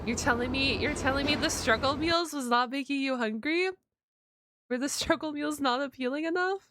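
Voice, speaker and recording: A woman streamer giving a casual live reaction, excitable, slangy and freely sweary. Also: high voice, moderate pace, noisy background. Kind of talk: casual livestream reaction chat.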